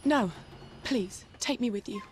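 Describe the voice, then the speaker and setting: Actress as a higher-voiced young female agent. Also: measured pace, slight background noise, natural voice. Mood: pleading. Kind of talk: cinematic action game acting.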